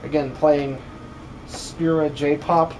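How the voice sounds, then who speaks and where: A man's voice: on the high side, flat delivery, extremely slow, a little mellow, slight background noise, rough recording. A man chatting casually and jokingly as he streams a game, in an informal manner. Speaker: streamer and amateur voice actor. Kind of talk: casual livestream chat while gaming.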